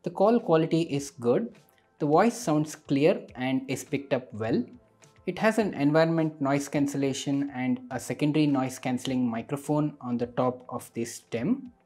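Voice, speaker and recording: A man narrates a tech product review in a mellow, slightly rough voice. His delivery is that of a scripted explainer. Faint music plays behind him. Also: medium pitch, normal speed.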